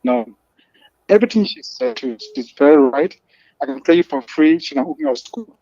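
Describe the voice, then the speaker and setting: Male nurse caller, mellow dark voice on a dull line, measured and repetitive. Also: a little high, expressive, vocal fry, no echo. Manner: measured, repeats words while building a point. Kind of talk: call-in radio talk.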